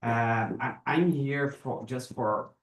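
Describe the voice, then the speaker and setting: A man's voice: mid-range voice, slow, recorded from a distance. A man from Brazil, a student asking tentative questions to check he understands. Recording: echoey and off-mic.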